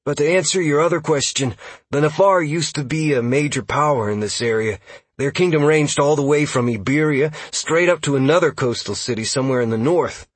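A man voicing a nervous, higher-pitched young-sounding elf scout. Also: fairly quick, husky, no echo. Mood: eager, explanatory. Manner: hesitant, apologetic, stammering. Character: nervous, eager male elf scout and lore buff. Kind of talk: audiobook character dialogue.

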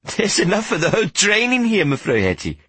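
A man's voice: high voice, huge pitch swings, brisk, a bit bright, husky, dry recording, pitched above the performer's own voice, exaggerated voice. A man reading an audiobook, voicing a polite, earnest young boy who apologizes and speaks formally.

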